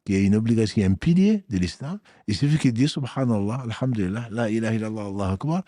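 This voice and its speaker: A man's voice: fairly low pitch, animated, fast, dark tone, no echo, studio quality. A man answering as a religious teacher on a talk show. He explains rulings firmly and weaves in Arabic phrases.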